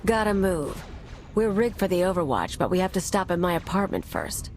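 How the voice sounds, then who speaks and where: A woman's voice: fairly low pitch, big pitch swings, moderate pace, darkish tone, a little hiss or hum. An actress playing a brash, cynical female anarchist bomber in a video game cutscene, talking in a clipped, tough, sardonic way. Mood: cocky, brisk.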